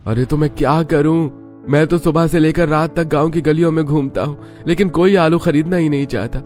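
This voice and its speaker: Man plays worn-down village father and potato seller, pitched up, plaintive, story with soft music. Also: fairly even intonation, normal speed, natural voice. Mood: helpless frustration.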